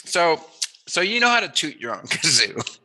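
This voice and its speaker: A man's voice: on the high side, very animated, normal speed, bright, forceful tone, low-quality recording. A man hosting a casual chat interview, talking in a playful, jokey, musing way. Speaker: interviewer, host.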